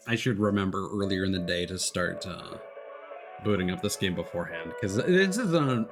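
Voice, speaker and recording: A man streamer chatting casually and rambling with many restarts while a game loads. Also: on the low side.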